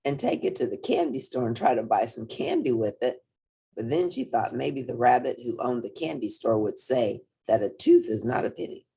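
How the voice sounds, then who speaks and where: Low, husky, very expressive woman storyteller. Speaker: storybook reader. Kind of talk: expressive read-aloud children's story narration.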